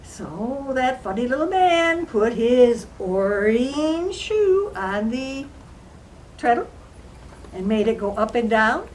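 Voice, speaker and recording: A woman plays an earnest young queen from a fairy tale, telling her story in the first person. Her voice is fairly high and very animated, with slow, drawn-out dramatic emphasis. There is faint noise behind her. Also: rough recording. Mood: animated recounting.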